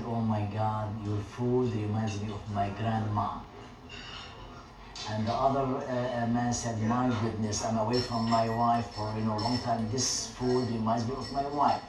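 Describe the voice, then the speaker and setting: Man, earnest and reflective. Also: fairly low pitch. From Syria. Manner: earnest, reflective, with filler pauses. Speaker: restaurant owner and teacher. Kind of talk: personal interview storytelling. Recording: roomy, distant, noisy ambience.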